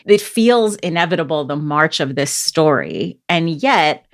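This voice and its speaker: A woman hosting a film discussion, speaking in a measured, analytical way. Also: low-pitched, big pitch swings. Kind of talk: casual discussion.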